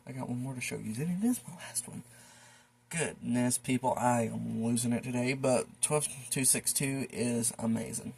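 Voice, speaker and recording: Gravelly, low-pitched American man, rambling casually. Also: moderate pace, a little mellow. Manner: folksy, rambling, muttering asides to himself. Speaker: hobbyist video host. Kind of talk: casual show-and-tell vlog. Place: indoors in a room at home.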